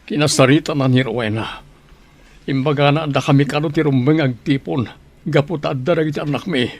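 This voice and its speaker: A man's voice: a little high, a little fast. An actor with a Filipino Ilocano accent playing a heartsick man in love who confides his hurt in an audio drama. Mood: sorrowful, resentful.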